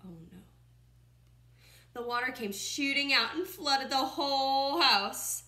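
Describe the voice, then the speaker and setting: A woman in her twenties reads a picture book aloud like a teacher to young children, expressively, pausing to comment and ask questions. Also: high voice, bright, forceful tone. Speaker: first-grade teacher reading aloud. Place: small room at home, indoors by a window. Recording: roomy, echoing home recording.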